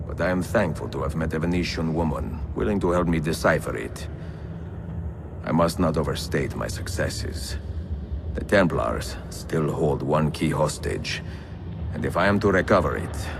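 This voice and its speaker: An older man with an Italian accent, playing a wise, weary and resolute aging assassin in a video game, speaking in a calm, measured way. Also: rumbling low, creaky, noisy background, heavily acted. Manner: measured, deliberate. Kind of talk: cinematic game acting, grave and measured. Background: music.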